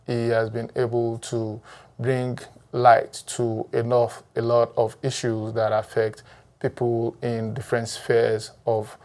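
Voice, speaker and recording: A man hosting a documentary series, reading a scripted introduction in a steady manner. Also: mid-range voice. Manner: steady, read-aloud introduction. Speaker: documentary host. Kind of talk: scripted narration.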